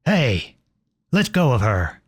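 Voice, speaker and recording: A man playing a protective, noble outlaw prince in an earnest, dramatic ASMR audio roleplay, with a soft, husky voice close to the mic. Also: mid-range voice, very animated, takes it slowly, no echo, exaggerated voice. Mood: betrayed, angry. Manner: earnest, dramatic, addresses unseen listeners directly. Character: outlawed young prince, protective, noble, devoted to his beloved. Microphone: close studio-style microphone, dry.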